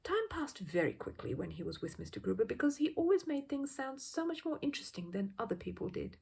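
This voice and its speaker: A woman reading a children's story aloud as an expressive narrator. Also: fairly high pitch, fairly quick, creaky, slightly rough. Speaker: audiobook reader.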